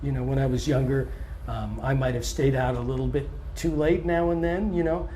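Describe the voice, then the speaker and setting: A man's voice: mid-range voice, a little fast. Scratchy-voiced man talking loosely. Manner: relaxed and thoughtful, many ums, restarts now and then. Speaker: touring blues singer and guitarist. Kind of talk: casual interview answers.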